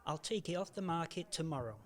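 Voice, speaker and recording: A man playing a gruff older uncle in an amateur radio play. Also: a little high, lively intonation, fast, close to an everyday voice. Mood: earnest, apologetic. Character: gruff, miserable older uncle, a reluctant investor softening.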